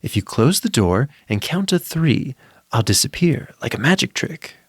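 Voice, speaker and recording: A man's voice: mid-range voice, very animated, moderate pace, breathy edge, no echo, clean studio sound, pitched above the performer's own voice. A man voicing a chatty, slyly polite monster in a storybook tale, with a coaxing, theatrical manner. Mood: excited, coaxing. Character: golden-eyed closet monster, chatty, playful, slyly polite.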